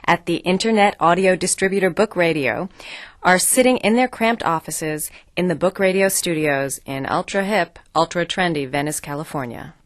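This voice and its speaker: A woman's voice: lowish voice, moderate pace, no room sound, clean studio sound. A woman reading scripted narration for an audio drama.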